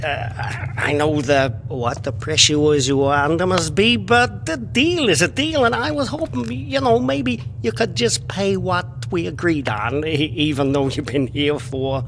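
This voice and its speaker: A man's voice: fairly high pitch, measured pace, few pauses, fairly bright tone, a little hiss or hum. A man playing a desperate, meek, down-on-his-luck park owner in a game cutscene, hesitant and wheedling. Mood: nervous, meek. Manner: hesitant, stammering, pleading. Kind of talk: video game cutscene acting.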